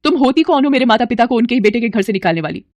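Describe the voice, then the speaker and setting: A woman voicing an emphatic young married daughter-in-law with a quick temper in an animated storybook tale. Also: fairly high pitch, dry recording, pitched above the performer's own voice, natural voice. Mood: furious scolding.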